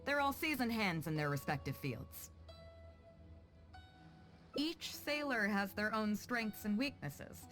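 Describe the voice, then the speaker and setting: A woman's voice: mid-range voice, expressive, slow pace, pauses often, a bit bright. A voice actress playing a proud, confident female sea captain with a commanding, teasing way of talking in a video game. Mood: confident, instructive. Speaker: ship captain character. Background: background music.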